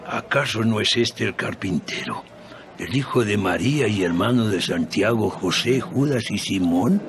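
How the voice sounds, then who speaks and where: An actor playing a skeptical villager in a dramatized Bible recording. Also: male voice, on the low side, expressive, a little slow. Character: skeptical villager doubting a local carpenter. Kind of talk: dramatized Bible audio acting. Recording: clear background noise.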